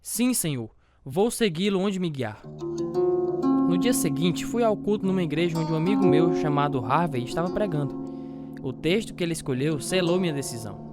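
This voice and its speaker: A man's voice: a little high, expressive, normal speed, loud music behind the voice, natural voice. A man acting as an earnest former boxer turned evangelist, narrating his life story in a scripted radio drama. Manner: earnest, devout. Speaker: narrator and lead actor.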